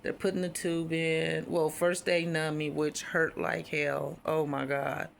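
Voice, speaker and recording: A woman with a deep, raspy voice, hoarse while healing, telling a personal story in a casual, rambling way with many fillers. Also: flat delivery, unhurried, a little hiss or hum. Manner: rambling, frequent fillers and restarts. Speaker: vlogger.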